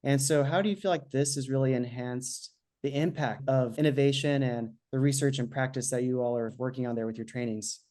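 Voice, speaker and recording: A man's voice: medium pitch, steady tone, a little fast, hardly any pauses, darkish tone. Man hosting a podcast over video call. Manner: friendly, a little hesitant with fillers. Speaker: podcast host. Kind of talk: interview questions. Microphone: webcam on a video call.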